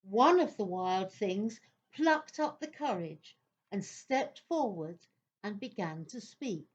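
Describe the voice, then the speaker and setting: A woman's voice: mid-range voice, expressive, slow pace, lo-fi sound. A woman narrating a children's story in a theatrical, suspenseful style.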